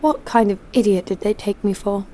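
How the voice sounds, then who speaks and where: A woman's voice: medium pitch, normal speed, mellow, close to an everyday voice. An actress playing a cynical, hard-nosed female bounty hunter in an audio drama, with a blunt, sardonic delivery. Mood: wry, incredulous. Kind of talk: first-person audio drama narration and dialogue.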